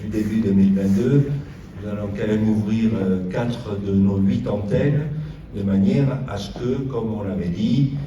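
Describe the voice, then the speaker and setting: A man giving an informal public progress report as a local official, speaking haltingly with long hesitant pauses. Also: lowish voice, steady tone, mellow, muffled recording. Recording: roomy echo, distant mic, noisy.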